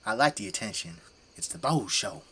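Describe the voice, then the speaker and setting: A man's voice: lowish voice, lively intonation, moderate pace, noisy background, close to an everyday voice. An actor playing a folksy, teasing middle-aged Midwestern family man who works as a zombie-fighting janitor in an audio drama. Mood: jovial, frank.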